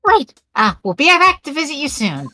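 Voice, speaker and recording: A woman's voice: high-pitched, big pitch swings, moderate pace, sharp tone, soft background music, heavily acted. A woman voicing a perky, sweet young female assistant in a fan-voiced visual novel game. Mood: cheerful.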